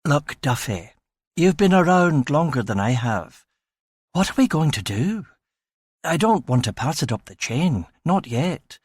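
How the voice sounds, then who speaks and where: Man playing a fretful young Scottish police chief, pitched up. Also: sing-song, unhurried, dry recording, studio quality. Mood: anxious, hushed. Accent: Edinburgh Scottish. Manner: anxious, flustered, hesitant.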